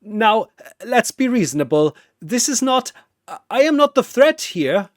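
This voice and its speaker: A man's voice: very high voice, big pitch swings, unhurried, no room sound, clean studio sound, voice pushed up, exaggerated voice. A man voicing a cornered villain officer in a story, careful and overly polite as he bargains. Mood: panicked pleading.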